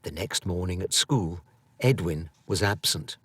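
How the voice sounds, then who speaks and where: A man narrating a storybook as an audiobook reader, in a theatrical, expressive manner. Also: low voice, unhurried, breathy edge, no room sound.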